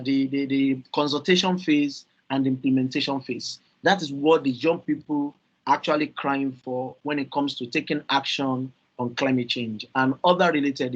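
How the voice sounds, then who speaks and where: A Nigerian man speaking earnestly on a video call panel, halting with fillers and repeated words, over dull lo-fi audio. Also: medium pitch. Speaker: youth climate panelist.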